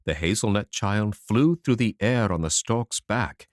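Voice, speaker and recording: A man narrating a children's fairy tale audiobook in an expressive, animated storytelling manner. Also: on the low side, a little slow, no echo, studio quality.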